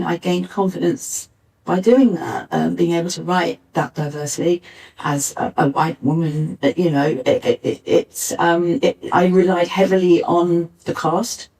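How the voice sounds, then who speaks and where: Low-voiced older woman, recorded from a distance. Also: fairly even intonation, unhurried, a little mellow. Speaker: pantomime writer, director and actor, panelist. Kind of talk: live panel talk, reminiscing. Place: arts centre stage, live panel. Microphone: distant backup recorder. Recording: distant mic, uneven backup recording.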